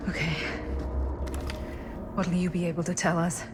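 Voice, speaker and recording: A woman playing a brave, watchful young investigator in a video game cutscene, direct and quick to take charge. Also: fairly low pitch, flat delivery, noisy background, natural voice. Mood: concerned.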